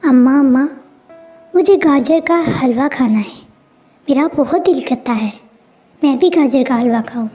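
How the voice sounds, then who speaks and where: A woman's voice: high-pitched, a little slow, pauses often, dark tone, soft background music. A woman voicing an eager little daughter in a story, pleading in a childlike way. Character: eager little daughter begging her mother.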